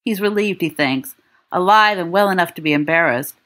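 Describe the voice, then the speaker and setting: A woman reading fiction aloud as an audiobook narrator, with expressive delivery. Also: fairly low pitch, moderate pace. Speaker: volunteer audiobook reader.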